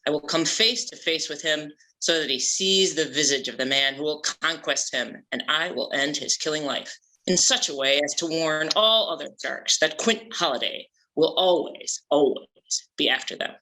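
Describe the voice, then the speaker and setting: A man's voice: fairly high pitch, moderate pace, bright, forceful tone, poor audio. A man playing a vain, boastful ex-pirate shark hunter, grand and pompous, in a comedy table read.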